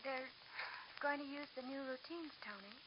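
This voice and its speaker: Woman playing timid, deferential ingénue for old radio drama; high pitch, noisy dull sound. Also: normal speed, natural voice. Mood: meek, concerned. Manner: hesitant, deferential. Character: sweet, timid, eager-to-please young woman. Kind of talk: 1950s radio drama acting. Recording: muffled, noisy, clicky old radio recording.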